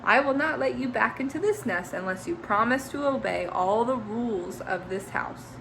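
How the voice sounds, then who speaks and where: A woman's voice: a little high, animated, normal speed, creaky, fairly bright tone, slight background noise, low-quality recording. A woman voicing a strict mother bird in a children's storybook, scolding and bossy. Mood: stern.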